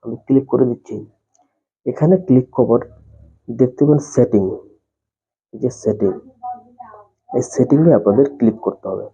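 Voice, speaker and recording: A man's voice: mid-range voice, normal speed, long pauses, mellow, husky. A man narrating a step-by-step phone tutorial, explaining things repetitively and addressing viewers directly. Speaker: tech tutorial host. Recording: muffled, dull lo-fi voice-over.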